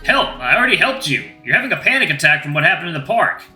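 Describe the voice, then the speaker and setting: A performer voicing a huge, friendly male wolf giant who talks in a calm, soothing, lightly teasing way. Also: mid-range voice, fairly quick, roomy sound, faint music behind. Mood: matter-of-fact.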